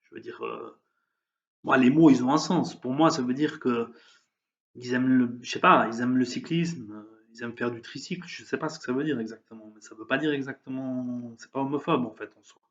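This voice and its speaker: A man's voice: medium pitch, brisk, dull audio. A man giving an emphatic, heated opinion monologue, hesitating often with fillers. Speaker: vlogger. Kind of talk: opinion monologue on current events.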